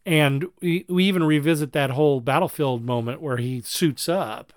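A man co-hosting a podcast in casual, analytical fan discussion, with a measured, reflective way of working through his points. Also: on the high side, dry recording, studio quality. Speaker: podcast co-host.

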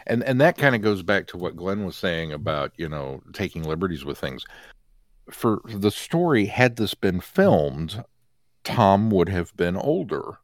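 A man's voice: deep voice, sing-song, a little slow, no room sound. A man co-hosting a podcast, casually reviewing in an opinionated way, often restarting his sentences. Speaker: podcast co-host.

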